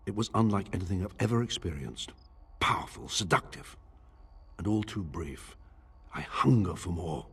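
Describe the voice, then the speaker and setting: A brooding nobleman consumed by grief and obsession, reading from his private diary in a fantasy game drama. His voice is very low and heavily husky, full of breath. The theatrical, deliberate phrasing swings widely in pitch as his tyrannical madness shows through. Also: lively intonation, exaggerated voice. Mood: hungry, obsessed. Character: grieving, obsessive lord descending into tyrannical madness. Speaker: voice actor.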